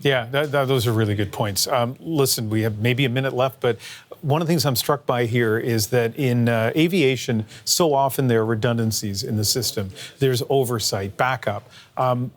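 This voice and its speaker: A man's voice: mid-range voice, moderate pace. A man hosting a live news interview in a conversational way, feeling out his questions as he goes.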